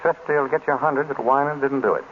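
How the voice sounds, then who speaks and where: An actor playing a wry, unflappable private detective in an old radio drama, with casual, clipped banter. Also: male voice, medium pitch, steady tone, quick pace, close to an everyday voice. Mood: thoughtful, confident. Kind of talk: 1930s-40s radio drama acting. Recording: muffled old radio recording, faint noise.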